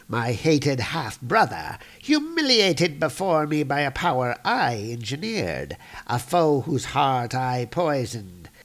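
A man plays a villainous comic-book trickster god who savours every word at a very slow pace. The delivery is theatrical and swoops with spite, in grand archaic phrasing. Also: mid-range voice, a bit bright, exaggerated voice.

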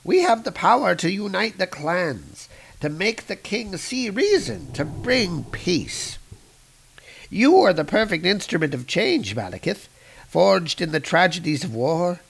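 A man's voice: high voice, big pitch swings, measured pace, creaky, a bit bright, slightly rough, pitched above the performer's own voice, full character voice. A man voicing an old male wizard who earnestly pleads for peace. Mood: earnest, urging.

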